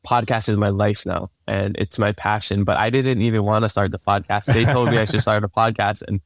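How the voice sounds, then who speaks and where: A man's voice: fairly low pitch, fairly quick, dry recording, studio quality. A young man from Hawaii whose first language is Hawaiian, casually telling his life story as a podcast guest with a laid-back manner. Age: young adult. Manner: laid-back, relaxed, repeats words when starting sentences.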